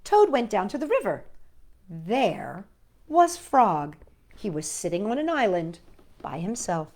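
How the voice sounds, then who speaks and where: A woman's voice: medium pitch, sing-song, a little slow, darkish tone. A woman hosting a storytime, reading children's stories and poems expressively and speaking directly to young listeners. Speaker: storytime host and reader. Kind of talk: children's story read-aloud.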